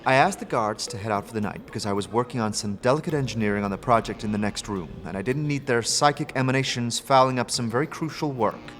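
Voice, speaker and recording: A man's voice: mid-range voice, fast, hardly any pauses, fairly bright tone, natural voice. A man voicing an aloof, secretive female magical engineer, dry and dismissive, in a fantasy audio drama. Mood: aloof, guarded. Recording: long reverb, music behind.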